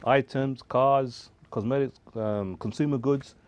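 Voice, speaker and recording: A man's voice: mid-range voice, fairly even intonation, measured pace, no room sound, highs cut off. A man talking as a guest in a casual studio discussion, emphatic and fond of rhetorical questions, joking now and then. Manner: emphatic, asks rhetorical questions, jokes and laughs.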